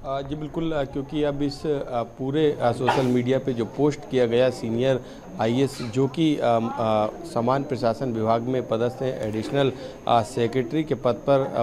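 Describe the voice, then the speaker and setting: A man giving an unscripted live news report in a halting way with many fillers. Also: medium pitch, dark tone.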